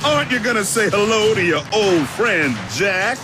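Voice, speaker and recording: A man voicing a taunting, gloating, hulking mercenary villain in a video game, with loud music underneath. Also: sits high, lively intonation, moderate pace. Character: boastful hulking armored male mercenary villain.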